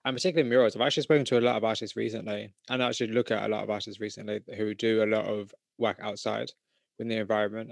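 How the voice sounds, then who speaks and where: A man's voice: on the low side, creaky, dry recording. A man hosting an art podcast and interview, talking in an encouraging, affirming way. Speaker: host, interviewer. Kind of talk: podcast intro and casual interview.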